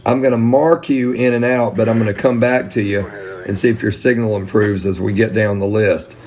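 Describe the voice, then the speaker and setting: A man's voice: lowish voice, fairly even intonation, a little fast, darkish tone. A man working as net controller, calling a procedural roll call on a ham radio net.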